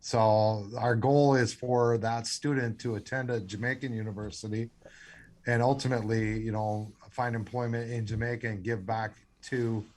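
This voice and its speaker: A man's voice: mid-range voice, flat delivery, normal speed, darkish tone. An American man in a radio interview, talking earnestly with many restarts and fillers. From United States. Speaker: radio guest, scholarship founder.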